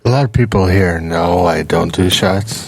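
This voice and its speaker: A man in his forties with a very low, gravelly voice that has a husky edge. He keeps his answers short and even-tempered, chatting casually on a podcast. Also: moderate pace. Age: 40s. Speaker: podcast co-host. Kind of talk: casual chat.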